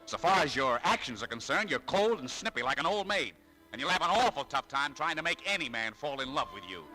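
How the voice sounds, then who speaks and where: An actor playing a proud, needling, easily stung male shop clerk in a 1940s radio drama, wry and quick to indignation. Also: on the high side, animated, pushed and bright, rough recording. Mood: indignant. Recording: vintage 1940s radio broadcast, music behind.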